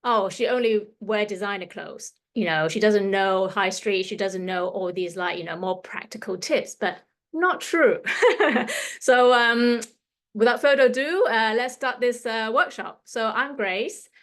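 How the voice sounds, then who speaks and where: A woman's voice: medium pitch, unhurried. A woman in her fifties with a mixed Hong Kong and London accent, a fashion stylist leading a workshop in a loose, chatty way with many fillers. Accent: Hong Kong and London English. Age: 50s. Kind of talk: casual presentation to an audience.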